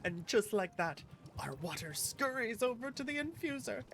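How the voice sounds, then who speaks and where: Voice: medium pitch, sing-song, moderate pace, noisy background, pitched above the performer's own voice. A streamer voicing a cheerful, theatrical game character who patiently gives tea-making instructions. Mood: cheerful, instructive. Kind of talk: reading game dialogue aloud. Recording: music behind the voice.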